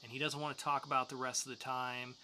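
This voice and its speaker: A man's voice: medium pitch, flat delivery, fast talker, bright, forceful tone, slight background noise. A man co-hosting a podcast, giving reviews in a casual, conversational way. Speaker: podcast co-host reviewing comics.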